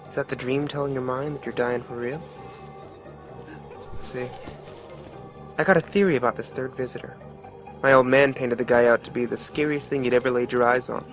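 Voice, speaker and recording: A man's voice: mid-range voice, a bit bright, close to an everyday voice. Man acting a wry, streetwise storyteller over music. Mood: wry, musing. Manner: wry, folksy, reflective. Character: wry, streetwise male storyteller musing on death. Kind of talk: colloquial scripted narration of motion comic.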